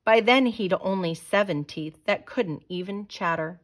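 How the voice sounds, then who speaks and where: A woman reading a children's picture book aloud as a storyteller, with dramatic, deliberate delivery. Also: mid-range voice.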